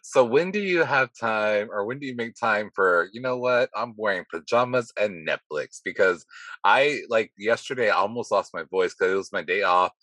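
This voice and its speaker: A man's voice: lowish voice, normal speed, sharp tone, no echo. A man hosting a podcast interview in a casual, rambling, jokey way, his voice scratchy from talking too much. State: scratchy, nearly lost voice. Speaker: podcast host.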